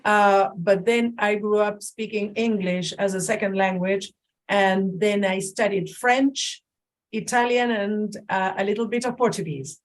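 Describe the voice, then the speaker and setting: Female presenter, Spanish first language, passionate, mid-pitched, video-call webinar. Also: steady tone, unhurried. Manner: passionate, warm-hearted, with small restarts.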